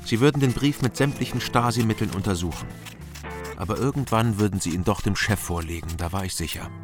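Male actor as guarded hero-narrator, lowish voice, terse, wry, radio crime drama, plucked guitar underneath. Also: fairly quick, lightly acted. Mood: laconic, tense. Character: dry, guarded male hero telling his own story. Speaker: first-person narrator. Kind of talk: scripted noir crime narration.

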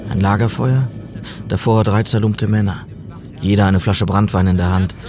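A man's voice: lowish voice, fairly even intonation, moderate pace, music in the background, close to an everyday voice. An actor playing an upright, formal city policeman out West in a German radio play. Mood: tense narration. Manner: earnest, correct, formal.

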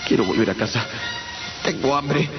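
A man's voice: medium pitch, moderate pace, loud music behind the voice, close to an everyday voice. A man in a radio drama playing a frightened shipwrecked captive, pleading politely and stammering. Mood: hungry despair. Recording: dull radio drama audio, faint noise.

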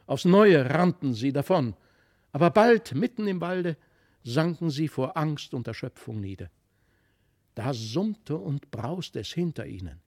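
A man narrating a literary fairy tale in a dramatic, expressive manner. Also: on the high side, a little slow. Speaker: storyteller.

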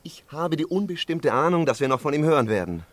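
A man acting in a German radio drama as an urbane, inquisitive British gentleman adventurer, polished, bookish and musing. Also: on the high side, sing-song, fast, no room sound. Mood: pensive.

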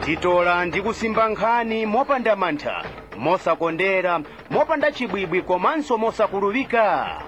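A man reading the news in a lively, announcing manner. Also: sits high, moderate pace, over music. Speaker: web radio newsreader.

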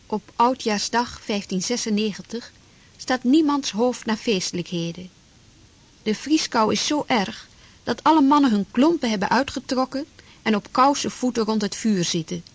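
A woman's voice: on the high side, animated, normal speed. A woman narrating a radio drama from a script.

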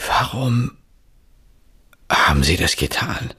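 An actor in a German radio drama playing a brooding, probing police chief inspector with a terse, controlled, formal manner. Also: male voice, low-pitched, sing-song, takes it slowly, bright, forceful tone, breathy edge, exaggerated voice.

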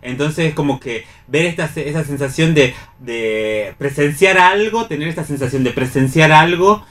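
Expressive Argentine man explaining. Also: fairly high pitch, moderate pace. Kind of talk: discussion of theatre on radio, partly reading aloud.

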